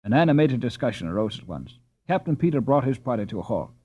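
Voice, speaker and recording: A man reading a storybook aloud as an audiobook narrator, in an expressive, scripted style. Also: medium pitch, quick pace, husky, muffled recording.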